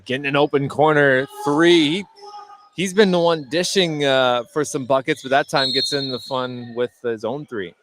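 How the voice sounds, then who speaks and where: Conversational American color commentator, medium-pitched man. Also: steady tone, moderate pace, fairly bright tone. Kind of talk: live basketball analysis.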